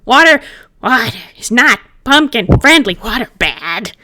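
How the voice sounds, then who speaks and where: A woman's voice: fairly high pitch, animated, a little slow, bright, forceful tone. A woman voicing a small, grumpy, mischievous male pumpkin spirit who grumbles and pouts in broken phrases in an amateur roleplay reading. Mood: indignant. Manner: pouty grumbling, repeats himself in broken phrases.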